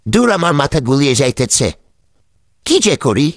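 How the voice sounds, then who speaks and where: A man's voice: mid-range voice, sing-song, normal speed, heavy background noise. A man voicing the witty old village sage in a cartoon, teasing and grumbling to himself. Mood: wry. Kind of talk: cartoon voice acting.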